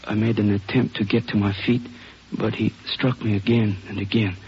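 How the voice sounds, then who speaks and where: Wounded polite male dealer, very husky, lowish voice, formal, hesitant, old radio drama. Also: little pitch movement, moderate pace. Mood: pained, courteous. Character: courteous injured Chinese male jade dealer, formal, pained. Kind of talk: 1950s radio drama acting. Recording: muffled vintage radio drama recording.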